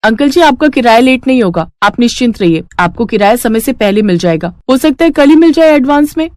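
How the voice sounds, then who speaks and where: A woman's voice: fairly high pitch, lively intonation, fairly quick, a little mellow, dry recording, clean studio sound, voice pushed up, lightly acted. A woman voicing a determined, good-natured young daughter-in-law in a cartoon story, polite and earnest. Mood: assured. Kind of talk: Hindi cartoon story acting.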